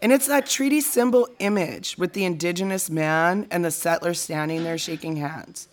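A woman's voice: lowish voice, normal speed, lightly acted. A woman acting in an audio drama as an opinionated, plainspoken friend in casual conversation. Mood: thoughtful, irritated. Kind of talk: naturalistic audio drama dialogue.

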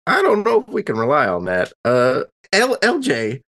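Man playing an excitable folksy adventurer, swooping pitch. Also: moderate pace. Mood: eager, determined. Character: eager, folksy adventurer keen for a fight.

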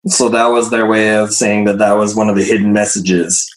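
A man presenting slides in a casual group discussion, losing his voice, clearing his throat and filling gaps with hesitant "um"s. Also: lowish voice, steady tone, moderate pace, roomy sound, poor audio. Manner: hesitant, many fillers.

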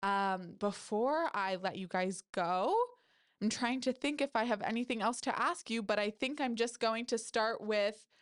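A woman's voice: fairly high pitch, measured pace. A woman podcast host with a stuffy nose, reading a story aloud and chatting effusively with her guests. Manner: effusive, upbeat, laughs often. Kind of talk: reading a story aloud, then casual chat.

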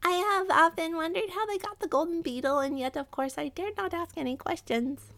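A woman's voice: very high-pitched, a little fast, a bit bright, pitched above the performer's own voice. A woman voicing an anxious, honourable male rat in a children's story, pleading in wordy, very polite phrases. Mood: wailing, dismayed. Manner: pleading, wordy, formally courteous. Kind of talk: children's animal storybook character.

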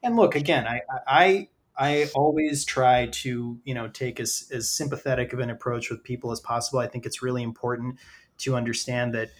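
A man hosting a podcast in a casual, conversational way, halting and hedging as he thinks aloud. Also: medium pitch, steady tone. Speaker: podcast host.

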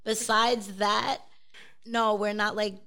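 A woman from South Brooklyn with a strong Brooklyn accent, a podcast guest in casual chat, animated and streetwise, swearing freely. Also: medium pitch, takes it slowly. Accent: Brooklyn New York. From South Brooklyn, New York.

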